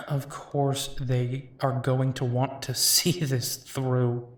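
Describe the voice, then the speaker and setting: A man playing a protective, worried but caring werewolf detective in an intimate audio roleplay, speaking in a reassuring way with pauses. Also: mid-range voice, little pitch movement, fairly bright tone. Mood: resigned, fond.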